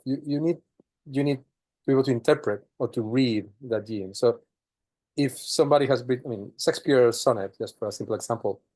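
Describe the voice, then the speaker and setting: Man, student, questioning, halting speech, dry close sound. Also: mid-range voice, darkish tone, no echo. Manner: halting, searching for words. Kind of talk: asking a question in class.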